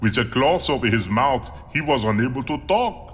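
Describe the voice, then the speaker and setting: A man's voice: medium pitch, expressive, moderate pace, fairly bright tone, natural voice. A man playing a huge, courteous cannibal monster in an audio drama, earnest and proud, with formal, theatrical delivery. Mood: solemn, dramatic. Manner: formal, polite, theatrical verse recital. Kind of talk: audio drama acting with poetry recital. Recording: long hall-like reverb.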